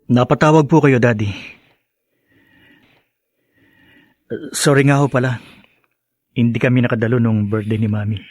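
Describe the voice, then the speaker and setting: An actor playing a long-suffering young husband and dutiful son, earnest and weary, in radio drama style. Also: male voice, mid-range voice, fairly even intonation, a little fast, darkish tone, no room sound, close to an everyday voice. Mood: subdued, concerned.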